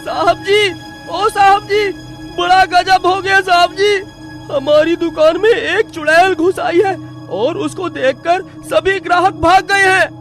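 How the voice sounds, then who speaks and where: A woman's voice: extremely high pitch, steady tone, slow, faint music behind, full character voice. A woman voicing a flustered, deferential male shop servant in a cartoon, fawning and repeating words. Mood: panicked. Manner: alarmed, fawning, repeats himself.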